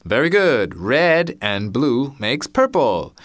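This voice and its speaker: A man voicing a schoolteacher who asks the class questions slowly and encouragingly. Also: medium pitch, big pitch swings, no echo, studio quality, pitched above the performer's own voice. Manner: loud, slow and encouraging. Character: cheerful schoolteacher quizzing a class.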